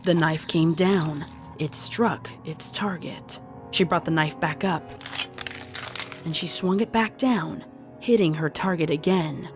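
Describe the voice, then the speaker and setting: A woman reads horror fiction as narrator in a slightly low voice. Her delivery is deliberate and dramatic, with faint music underneath.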